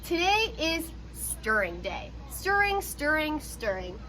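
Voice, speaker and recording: Storybook casting: woman playing no-time-for-games work truck, brisk, emphatic sing-song that swoops from high to low. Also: big pitch swings, sharp tone, exaggerated voice. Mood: matter-of-fact. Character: busy, dismissive grown-up construction truck. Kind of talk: children's storybook read-aloud.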